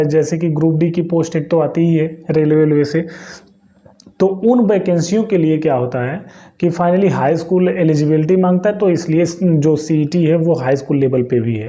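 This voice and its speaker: A man's voice: high-pitched, mellow. A man from hosting an exam coaching channel, explaining casually to his viewers, repeating phrases and checking that they follow. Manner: chatty, repeats phrases, asks "clear?". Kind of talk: casual explainer talk to camera.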